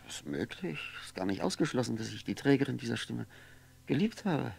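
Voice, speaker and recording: An actor playing a lonely, wistful, sardonic drinker in a 1960s radio play monologue, halting and musing, repeating words and trailing off. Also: male voice, mid-range voice, very animated, vocal fry, darkish tone, husky, full character voice. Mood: drowsy, wistful. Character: lonely drinking man, wistful, sardonic, brooding over memories.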